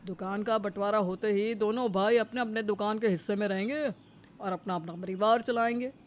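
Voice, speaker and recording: A woman voicing a sober male police inspector in a storybook drama, measured and reasoning. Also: medium pitch, fairly even intonation, slight background noise, close to an everyday voice. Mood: sympathetic, advising. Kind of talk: acted storybook dialogue.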